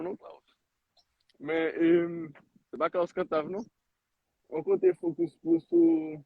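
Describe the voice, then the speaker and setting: A young man in his twenties talks loosely and rambles, restarting his sentences as he goes. His voice is gravelly and husky, sitting a little high for a man. The sound is dry and dull, like a lo-fi live stream. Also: dry recording. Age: 20s. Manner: rambling, switches between Creole and French with many restarts. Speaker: guest, agriculture founder. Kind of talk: casual live interview chat.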